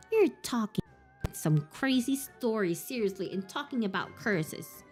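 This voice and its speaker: A woman's voice: fairly high pitch, darkish tone. A woman voicing a suspicious, agitated teenage schoolgirl who demands answers. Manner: demanding, accusing.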